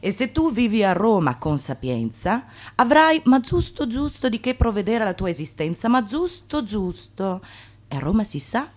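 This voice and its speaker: An actress playing a knowing, ironic narrator, declaiming with deliberate emphasis in a theatrical radio drama. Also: female voice, mid-range voice, darkish tone.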